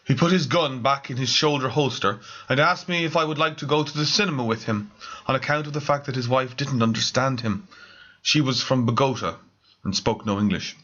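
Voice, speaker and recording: A man reading scripted comic narration with formal, theatrical deadpan. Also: medium pitch, brisk, dull audio. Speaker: author reading own story.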